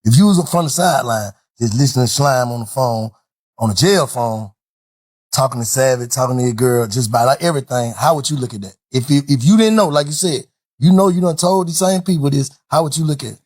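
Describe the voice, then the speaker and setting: A man with a raspy voice of medium pitch works as an interviewer. He speaks rapidly and casually, asking informal questions in a dry, close-sounding recording. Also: a little mellow, dry recording.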